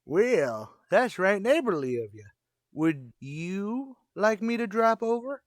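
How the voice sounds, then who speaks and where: High-voiced, folksy old lovestruck custodian. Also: male voice, sing-song, takes it slowly, dry recording, clean studio sound, full character voice. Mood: folksy, eager. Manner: folksy drawling rustic turns of phrase. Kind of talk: old-time radio comedy acting.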